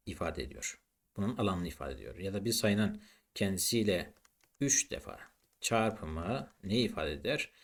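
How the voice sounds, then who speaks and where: A man teaching a maths lesson in an explanatory, didactic way, posing questions and then answering them. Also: on the low side.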